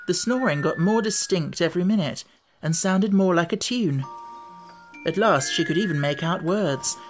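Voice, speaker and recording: A male audiobook narrator reads a storybook from a script. Faint music sits behind the voice throughout the telling. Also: sits high.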